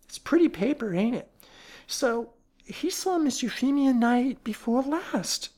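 A woman's voice: fairly high pitch, lively intonation, unhurried, mellow, voice pushed up. A woman voicing a timid, slovenly housekeeper who frets and stammers as she chatters. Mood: fretful. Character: timid slovenly middle-aged female housekeeper.

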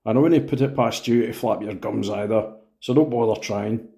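A male narrator doing a dying old grandfather with a low, mellow wheeze and a blunt, needling way of talking. He sounds worn down but stubborn, in a storybook reading. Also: fairly quick, creaky. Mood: scornful. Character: sick, gruff, sardonic old grandfather.

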